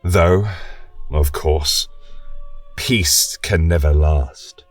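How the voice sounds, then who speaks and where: A man playing a learned, proud and wistful chronicler of a fantasy kingdom, lecturing in a formal, deliberate storyteller's way. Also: very deep voice, voice pushed down, exaggerated voice. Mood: grave, wistful. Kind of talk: scripted fantasy lecture narration. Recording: roomy, distant mic with music behind.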